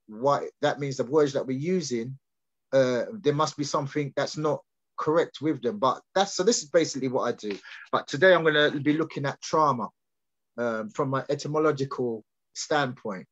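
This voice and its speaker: A man's voice: mid-range voice, a bit bright, lo-fi sound. A man explaining his ideas on a video call, hesitant with many restarts and fillers. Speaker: guest speaker.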